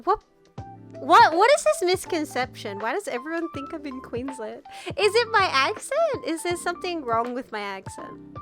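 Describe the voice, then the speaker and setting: A woman's voice: high voice, very animated, moderate pace, fairly bright tone. A young Australian woman chatting casually on a stream while sick with the flu, her voice nasal and congested. She rambles, swears and giggles often. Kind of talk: casual chat reading viewer messages. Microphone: VR headset mic. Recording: streamed with background music. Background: music playing.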